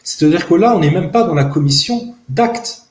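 A man in his forties, originally from Algeria with Arabic as his first language, speaking as an interview guest, earnest and analytical, pausing often with fillers and restarts, talking outdoors into a close camera. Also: on the high side, lively intonation, a little mellow, roomy sound. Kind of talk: reflective testimony in an interview. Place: outdoors in a park-like garden by buildings.

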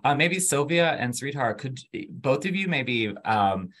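A man's voice: medium pitch, lively intonation, unhurried, a bit bright. A man hosting a university webinar over a video call, upbeat with frequent fillers. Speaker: webinar host, admissions. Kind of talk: webinar presentation.

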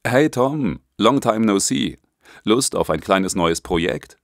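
Audiobook guy doing a breezy upbeat consultant pal, low voice, chatty, bits of english. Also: animated, slow, no room sound, studio quality. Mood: breezy, pitching. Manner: breezy, colloquial, peppered with English. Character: casual upbeat male business consultant friend.

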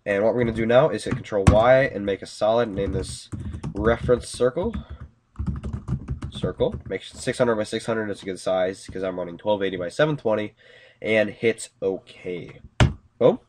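A young man with a nasal, slightly congested voice, casually talking through a software tutorial with lots of fillers. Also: fairly low pitch. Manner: casual, upbeat, many fillers. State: congested from a cold. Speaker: tutorial host. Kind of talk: screen-recorded software tutorial.

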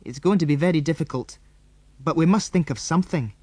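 A man's voice: on the high side, fairly quick, darkish tone, husky, no room sound, voice pushed up. Man voicing a resolute British boy adventurer. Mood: grave, determined. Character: resolute, protective boy leader in an adventure storybook.

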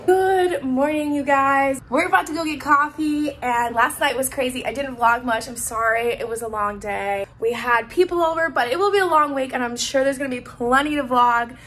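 A young woman vlogging on city streets, chatty and excited, talking into a phone at arm's length. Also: high voice, moderate pace, few pauses, a little hiss or hum. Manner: excited and chatty, retelling a scare. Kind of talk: casual vlog chat. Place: outdoors on city streets and a waterfront. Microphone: phone held at arm's length.

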